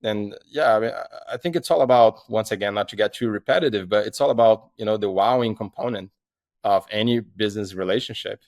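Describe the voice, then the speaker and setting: Low-voiced man with a Brazilian accent. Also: fairly quick, darkish tone, dry recording. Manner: rambling, frequent fillers and restarts. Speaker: guest, restaurant tech founder. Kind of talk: casual podcast interview.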